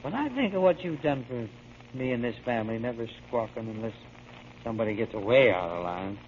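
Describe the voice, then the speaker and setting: An actor playing a feckless, remorseful grown son and brother who blames himself and promises big, in 1940s radio drama style. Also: male voice, medium pitch, lively intonation, brisk, darkish tone, close to an everyday voice. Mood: drunken self-reproach. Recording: muffled, hissy old radio broadcast.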